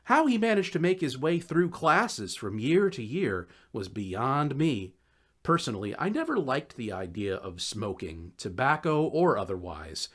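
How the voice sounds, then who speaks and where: Guy reading an audiobook, wry and chatty, voice swings up and down a lot, middling pitch. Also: unhurried. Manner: wry, conversational, expressive. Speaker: audiobook narrator. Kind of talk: animated first-person storytelling, scripted narration.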